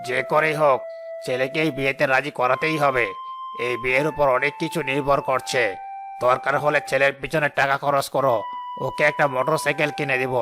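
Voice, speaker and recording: A man's voice: medium pitch, little pitch movement, fairly quick, natural voice. A man playing a domineering, calculating village headman and father in a cartoon. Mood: bossy. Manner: commanding, calculating. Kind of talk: cartoon voice acting. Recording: loud background music.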